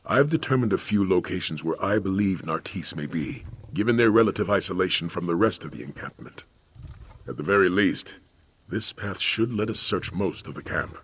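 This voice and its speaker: A man voicing a firm, authoritative mission leader in a video game, measured and formal. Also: deep voice, expressive, a bit bright, breathy edge, exaggerated voice. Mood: composed planning. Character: composed, authoritative male mission leader, patient but firm. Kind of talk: video game character dialogue.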